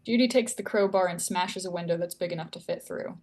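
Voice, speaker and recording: A woman narrates a screenplay at a table read. Her voice is a bit low as she reads out stage directions and scene headings in a scripted style. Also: fairly quick, a little mellow.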